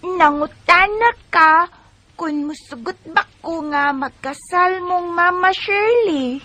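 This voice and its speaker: A woman's voice: very high-pitched, takes it slowly, bright, forceful tone, no room sound, full character voice. A woman with a Cebuano accent voicing an innocent, eager little child in a Bisaya radio drama.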